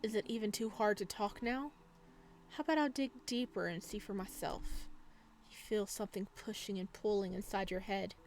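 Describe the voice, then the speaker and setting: A woman at an average pitch reads story text from a visual novel aloud. She is a gaming YouTuber who adds casual commentary as she goes. Faint noise and game ambience sit behind her voice. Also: fairly even intonation, moderate pace.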